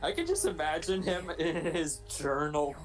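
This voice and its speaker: A man's voice: on the high side, very animated, moderate pace, faint music behind, poor audio. A man giving casual, joking commentary on a film, riffing on ideas as he talks. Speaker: fan dub commentator.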